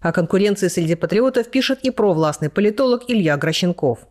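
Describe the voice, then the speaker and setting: Female news voice-over, mid pitch, even formal read. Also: fast talker. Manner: even, formal newsreader delivery. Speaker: news narrator. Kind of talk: scripted news narration.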